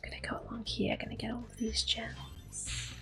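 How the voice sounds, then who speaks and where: Soft-spoken young woman whispering close. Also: fairly high pitch, normal speed, gravelly. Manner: calm and chatty, with gentle fillers. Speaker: ASMR gaming host. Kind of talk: ASMR gameplay commentary. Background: faint video game music.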